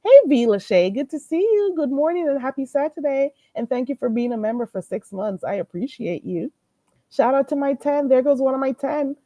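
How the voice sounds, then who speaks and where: Profane gossiping woman streamer, lively voice. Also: high voice, normal speed, no room sound. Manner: greets and thanks viewers mid-talk. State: stuffy nose. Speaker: livestream host. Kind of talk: live-stream gossip commentary.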